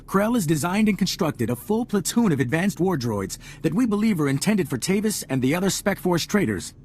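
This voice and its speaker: An actor playing a dutiful military corporal who gives a formal, clipped briefing and ends nearly every point with "sir". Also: male voice, sits high, sing-song, fairly quick, darkish tone, slight background noise. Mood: earnest. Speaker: briefing officer. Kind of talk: video game cutscene voice acting.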